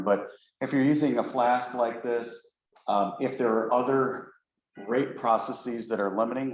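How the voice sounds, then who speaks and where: A male professor giving a relaxed, lightly joking seminar talk to colleagues in a lecture room. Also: mid-range voice. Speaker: guest speaker, professor. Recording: echoey room, dull lo-fi sound, faint noise.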